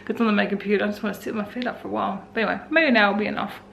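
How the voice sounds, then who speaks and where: An Australian woman in her forties with a croaky voice, talking casually and wearily through her day at home in a vlog. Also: mid-range voice, steady tone, very fast, slight background noise. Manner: tired, rambling, self-talking. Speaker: vlogger. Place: indoors at home.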